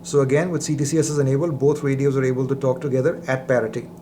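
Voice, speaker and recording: A man's voice: mid-range voice, flat delivery, very fast, mellow. Man, product demo presenter, explanatory, methodical, echoey indoor sound, slight background noise. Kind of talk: product demonstration narration. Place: indoor lab. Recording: roomy echo, faint background noise.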